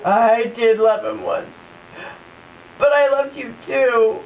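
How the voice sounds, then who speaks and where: A woman voicing a fragile, tearful young wife in an amateur puppet show, her voice high and quavering. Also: fairly even intonation, slow pace, gravelly. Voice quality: high and quavering, breaking into sobs. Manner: pleading, overwrought amateur acting. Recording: tinny lo-fi home recording with hiss.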